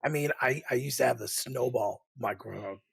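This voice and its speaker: A man co-hosts a casual gaming podcast while hoarse from a cold. His voice is gravelly and raspy, and he stumbles through halting restarts. He is recorded close on a desk condenser mic. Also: medium pitch. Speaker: podcast co-host.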